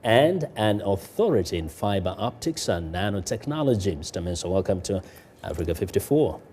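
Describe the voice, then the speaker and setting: Male TV interview host, formal and courteous, slightly low pitch, soft mellow tone. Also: big pitch swings, normal speed, a little hiss or hum. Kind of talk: broadcast interview.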